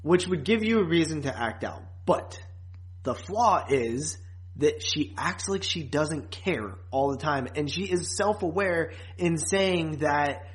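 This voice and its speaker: A man's voice: fairly high pitch, animated, takes it slowly, poor audio. Man in a casual, blunt podcast chat. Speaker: podcast co-host.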